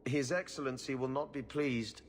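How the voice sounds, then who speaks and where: An actor playing a formal, dutiful official in a video game, speaking stiffly. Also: male voice, medium pitch, steady tone, normal speed, close to an everyday voice. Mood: prim, disapproving. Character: formal, dutiful male official serving a ruler. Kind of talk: video game cutscene acting. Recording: game audio with clear background noise.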